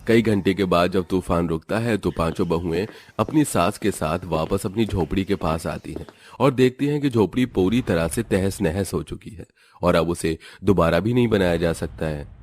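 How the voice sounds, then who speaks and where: Male narrator for a scripted tale, deep register, storytelling delivery. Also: normal speed, darkish tone, a little hiss or hum.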